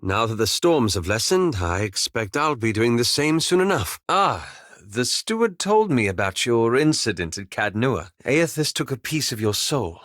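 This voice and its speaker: A reserved, scholarly male adventurer from a fantasy game. His mid-pitched voice moves expressively through formal, careful phrasing. He is polite and a little apologetic, recorded very dry. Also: big pitch swings, fairly bright tone, dry recording. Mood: measured, confiding. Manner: formal, measured, polite, hesitant diction. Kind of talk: video game character dialogue.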